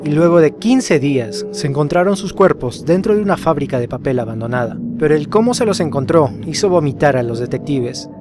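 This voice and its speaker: A man's voice: a little high, lively intonation, a little fast, few pauses. A man narrating a scripted horror case as a storyteller, putting rhetorical questions to the listener. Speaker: narrator of a horror explainer video. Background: music behind the voice.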